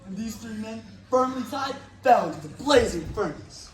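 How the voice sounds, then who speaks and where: A man's voice: sits high, lively intonation, normal speed, a crowd in the background. A man narrating a dramatized recitation of a Bible passage. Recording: echoey hall, far from mic.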